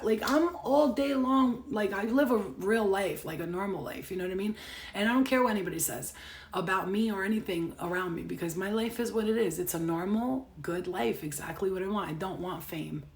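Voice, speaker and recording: A woman in her late thirties, a tarot reader and spiritual healer, chatting casually to live-stream viewers in a brash, profane, animated way that swings between loud rants and soft, earnest asides. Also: medium pitch. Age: 30s.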